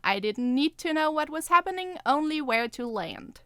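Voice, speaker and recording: A woman's voice: sits high, expressive, moderate pace, fairly bright tone, no echo, studio quality. A woman co-hosting a podcast, with a playful manner, in casual chat and story reading. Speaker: podcast co-host and co-writer.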